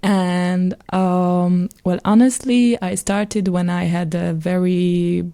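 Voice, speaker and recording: A young woman from Hungary with a Hungarian accent, talking as a guest on a podcast. Her voice is warm, mellow and a little low, and she speaks slowly, with pauses and frequent laughter while she finds her words. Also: steady tone. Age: young adult. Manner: halting, laughs often while thinking. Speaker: guest musician. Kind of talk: casual podcast interview.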